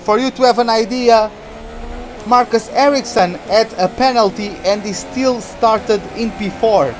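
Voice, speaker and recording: A man from Portugal, streaming a racing game and chatting excitedly through the action. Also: high voice, unhurried, background noise. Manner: chatty, reacts excitedly to the race. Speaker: gaming streamer. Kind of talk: live game commentary.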